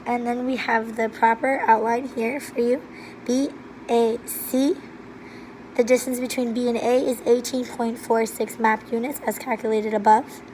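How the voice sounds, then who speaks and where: Hoarse woman explaining calculations. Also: fairly high pitch, unhurried, a little hiss or hum, rough recording. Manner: steady, methodical, step-by-step. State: hoarse from laryngitis. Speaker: student presenter. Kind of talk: recorded slide walkthrough.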